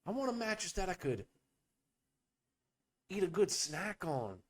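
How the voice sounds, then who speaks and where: Animated, profane young man riffing. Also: sits high, measured pace. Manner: profane, riffing, playful bits with voices. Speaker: podcast host. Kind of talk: rambling comedic podcast chat.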